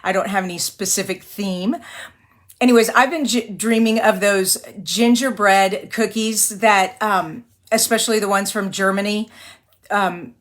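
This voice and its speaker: A woman from Texas hosting a live card-making tutorial, her voice a little raspy from singing and allergies, who rambles, apologizes often and laughs easily. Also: mid-range voice, fairly even intonation, measured pace. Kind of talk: live-stream craft tutorial, chatty.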